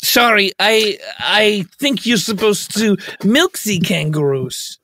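A man playing a meek, awkward foreign tourist in improvised comedy, speaking in a halting, plaintive and earnest way. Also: high-pitched, expressive, fairly bright tone, breathy edge, full character voice. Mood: defensive, earnest.

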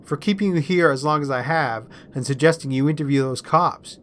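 A man's voice: fairly high pitch, brisk, close to an everyday voice. A man voicing a good-humoured, put-upon young student boyfriend in a storybook reading. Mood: sputtering, bantering.

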